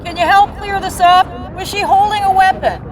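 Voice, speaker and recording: An actress playing a pressing news reporter asking questions at a press conference. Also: female voice, very high voice, steady tone, normal speed. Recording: noisy outdoor crowd, traffic. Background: crowd and street noise.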